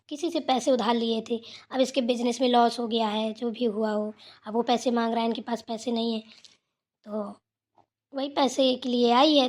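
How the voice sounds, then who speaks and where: A high female voice from an actress plays a village mother-in-law in a family cartoon. She is caring but quick to chide, and coaxes in a homely way. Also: a little mellow, close to an everyday voice. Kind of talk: Hindi family moral cartoon dialogue.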